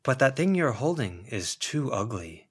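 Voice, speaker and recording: A man's voice: medium pitch, sing-song, unhurried, slightly rough, voice pushed up. A man voicing a languid, melancholy young man in an audiobook, speaking with florid, earnest confidence. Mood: pained, earnest. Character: languid, melancholy, dramatic long-haired beautiful young man.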